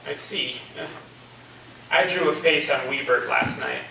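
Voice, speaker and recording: A man's voice: a little high, a little slow, vocal fry, sharp tone, heavily acted. A man playing an easygoing, curious college student with a casual, slightly hesitant delivery in a staged reading. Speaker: actor in a staged play reading. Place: live staged reading in a reverberant room. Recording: echoey room, distant mic, faint noise.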